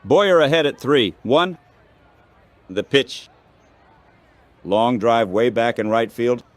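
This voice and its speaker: A man doing baseball play-by-play commentary as the announcer, in measured, clipped phrases. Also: medium pitch, no echo.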